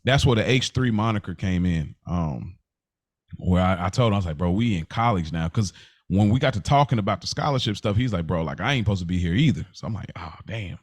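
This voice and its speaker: Male podcast host, American, lower-pitched, slangy casual storyteller, dry close sound. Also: brisk, no room sound. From United States, Kentucky and Indiana. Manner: animated, slangy storyteller who acts out remembered conversations.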